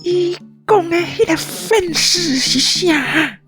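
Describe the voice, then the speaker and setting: A man's voice: extremely high pitch, lively intonation, takes it slowly, sharp tone, faint music behind, voice pushed up, exaggerated voice. A man with a Taiwanese accent voicing grumpy, gullible, food-greedy little forest dwarfs in a children's radio play, blunt and indignant. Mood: baffled.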